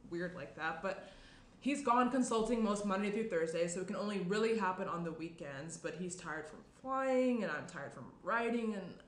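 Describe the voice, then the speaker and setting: A woman's voice: medium pitch, lively intonation, moderate pace, lightly acted. A young woman playing a guarded, stressed woman in a screenplay table read, speaking hesitantly. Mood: uneasy. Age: young adult. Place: small indoor room around a table. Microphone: shared tabletop microphone. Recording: roomy tabletop pickup, faint noise.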